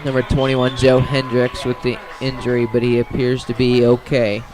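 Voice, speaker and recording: A man doing live radio play-by-play of a high school football game in clipped, descriptive phrases. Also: completely flat delivery, normal speed, a little mellow. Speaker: play-by-play sports commentator.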